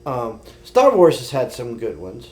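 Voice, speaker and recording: A man's voice: medium pitch, wildly swooping pitch, a little slow. A man chatting casually and informally as a podcast co-host. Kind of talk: casual chat.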